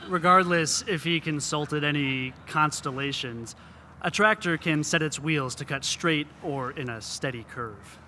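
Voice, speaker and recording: A man's voice: on the high side, a little slow, bright, forceful tone, slight background noise. A man reading scripted narration as a radio reporter.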